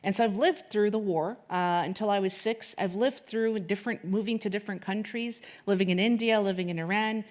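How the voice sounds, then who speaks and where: A woman's voice: medium pitch, fairly quick, few pauses, a little mellow. A woman in her late thirties, from Afghanistan, giving an earnest speech at an event with frequent restarts and fillers. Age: late 30s. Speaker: corporate sponsor speaker.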